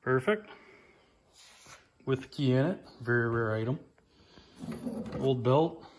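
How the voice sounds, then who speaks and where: A man's voice: medium pitch, slow pace, very noisy. Plain-spoken man with a phone in the garage. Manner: plain-spoken, rambling, repeats "like I say". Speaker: hobbyist restoring an old snowmobile. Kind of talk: casual walkaround commentary. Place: garage workshop. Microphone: handheld phone camera.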